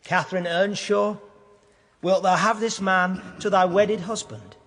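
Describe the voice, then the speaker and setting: A man's voice: high-pitched, steady tone, a little slow, a bit bright, full character voice. An actor playing a solemn clergyman reading wedding vows in a radio drama. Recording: long hall-like reverb.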